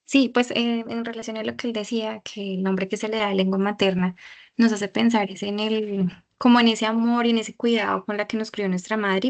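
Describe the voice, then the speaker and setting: Woman student, mid pitch, earnest and reflective, video call. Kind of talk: class answer.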